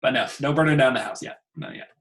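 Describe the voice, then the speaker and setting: A man, an instructor, chatting casually with his students on a video call, relaxed and chuckling as he trails off. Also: mid-range voice, fast. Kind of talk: casual class meeting chat. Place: home.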